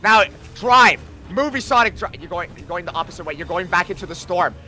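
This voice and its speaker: A man's voice: high-pitched, expressive, fairly quick, sharp tone, faint music behind, full character voice. A man voicing a brash, bossy, foul-mouthed hedgehog antihero while bantering over a video game. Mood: exasperated. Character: cocky, foul-mouthed hedgehog Shadow, parody of the brooding antihero. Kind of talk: gaming commentary with role-played banter.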